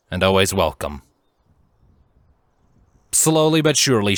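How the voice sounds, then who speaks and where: A man reading scripted dramatic fiction as a narrator, in a measured, solemn storytelling manner. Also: lowish voice, a bit bright, no echo.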